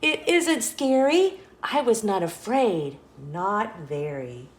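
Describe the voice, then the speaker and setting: A woman's voice: mid-range voice, animated, takes it slowly, roomy sound. A woman voicing a polite, timid storybook goat who tries to sound brave. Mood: bluffing bravery.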